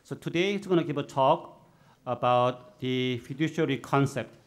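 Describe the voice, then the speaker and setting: A man's voice: mid-range voice, moderate pace. A man moderating a seminar who introduces the next speaker hesitantly, with many fillers.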